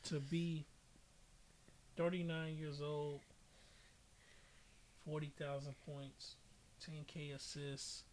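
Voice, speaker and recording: Male American podcast host, slightly high voice, casual opinionated sports talk, light background noise. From Chicago, United States. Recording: noisy amateur podcast audio.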